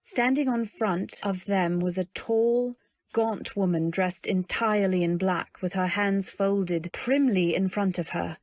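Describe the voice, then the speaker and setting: A woman playing a dreamy, naive young newlywed, narrating in measured phrases in an old-time radio drama style. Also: mid-range voice, darkish tone, no room sound, lightly acted. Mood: gentle, wondering. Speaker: narrator and lead. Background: faint music.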